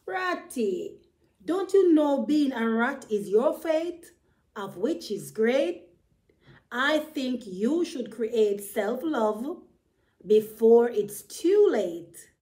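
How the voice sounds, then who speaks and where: Woman voicing a high, frank, caring bird. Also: very animated, very slow, mellow, heavily acted. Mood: scolding. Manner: admonishing, emphatic, encouraging. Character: frank, caring bird friend who gives advice. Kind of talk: children's storybook character voice.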